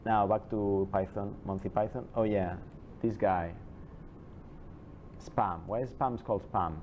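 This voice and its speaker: A man giving an informal classroom lecture, chatty and digressive, with many halting restarts and asides. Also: lowish voice, steady tone, slight background noise. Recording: dull lo-fi classroom recording.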